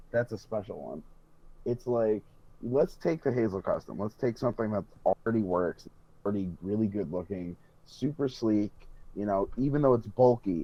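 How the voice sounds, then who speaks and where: A man's voice: lowish voice, dark tone, breathy edge, lo-fi sound. A man co-hosting a hobby podcast, chatting casually with emphatic, sweary, rambling enthusiasm. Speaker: podcast co-host.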